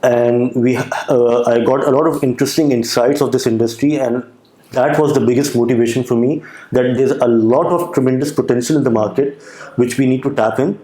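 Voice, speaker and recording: A young man with a Pakistani accent, answering interview questions with a hesitant manner, full of pauses and restarts. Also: mid-range voice, steady tone, dark tone, echoey room, low-quality recording. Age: young adult. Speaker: guest entrepreneur.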